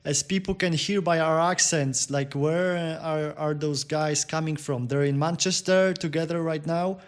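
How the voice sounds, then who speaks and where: A man's voice: fairly high pitch, steady tone, moderate pace. A man hosting an interview, asking long, meandering questions. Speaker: interview host.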